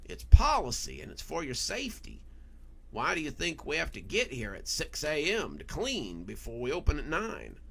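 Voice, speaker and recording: Man voicing a frightened, insistent mall worker, pitch swooping high. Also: huge pitch swings, creaky, slightly rough, heavily acted. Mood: alarmed, insistent. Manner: urgent, emphatic, halting. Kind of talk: audiobook horror story dialogue.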